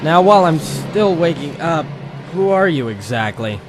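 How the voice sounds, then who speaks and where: A man's voice: fairly high pitch, sing-song, normal speed. Man playing a hardboiled, weary private eye over music. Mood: wry, flirty. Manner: wisecracking, theatrical noir delivery. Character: world-weary hardboiled male private detective narrator, ex-Marine. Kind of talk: old-time radio detective drama acting. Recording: music behind the voice.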